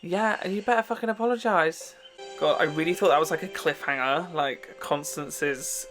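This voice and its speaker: A man's voice: a little high, normal speed, music in the background. A man giving a casual, candid TV reaction, rambling and gushing with some swearing. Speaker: reaction video host. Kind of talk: casual TV episode reaction chat.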